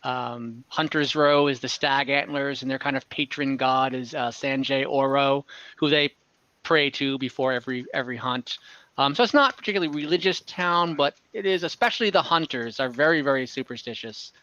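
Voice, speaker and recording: Male game master, forward bright tone, hesitant as he improvises story narration; close, dry, somewhat lo-fi online recording. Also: mid-range voice, dry recording. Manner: halting, searching for words.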